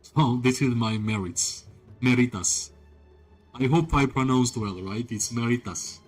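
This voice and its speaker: A man streaming a strategy game and chatting loosely with his viewers. His voice sits at an ordinary middle pitch. He rambles in a casual way, with faint game music playing underneath. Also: measured pace. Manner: rambling, leans on "like" and tag questions "right?"; talks to chat viewers directly. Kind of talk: casual live-stream commentary while playing.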